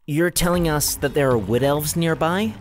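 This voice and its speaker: A man's voice: a little high, normal speed, close to an everyday voice. A man playing a skeptical, insistent clansman in an audio drama, speaking emphatically. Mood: insistent disbelief.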